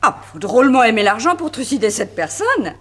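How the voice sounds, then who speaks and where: Detective's chatty french sidekick, 60s radio play, highish voice that jumps around. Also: female voice, very animated, fairly quick, a bit bright. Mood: curious, amused. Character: lively, skeptical, admiring female partner of a detective. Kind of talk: 1960s French radio drama acting.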